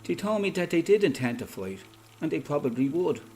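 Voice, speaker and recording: Actor as older Dublin father, lively-toned storyteller. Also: a little high, brisk, faint music behind. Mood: matter-of-fact reminiscing. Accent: Irish, Dublin. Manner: folksy, colloquial storyteller. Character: older Dublin father, plain-spoken veteran freedom fighter. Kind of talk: radio play acting, conversation and reminiscence.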